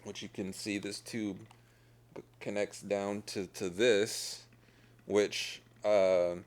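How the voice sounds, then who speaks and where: A man speaking candidly and plainly to camera about himself, in a halting, conversational way. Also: on the low side, level tone. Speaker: documentary subject, surgery patient. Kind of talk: candid personal talk to camera. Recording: loud music behind the voice.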